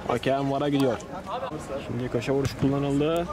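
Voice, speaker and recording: A man's voice: fairly even intonation, normal speed, over a noisy crowd. A man giving terse play-by-play commentary on an amateur night match outdoors, in short clipped calls. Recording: loud shouting players behind. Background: players shouting on pitch.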